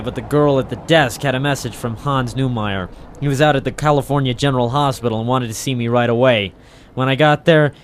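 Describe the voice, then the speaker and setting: A man playing a cynical, wisecracking waterfront troubleshooter in late 1940s noir radio style, deadpan and sardonic. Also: mid-range voice, slight background noise, close to an everyday voice. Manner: hard-boiled, sardonic, deadpan. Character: cynical wisecracking male private troubleshooter of the docks. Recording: old 1940s radio drama recording.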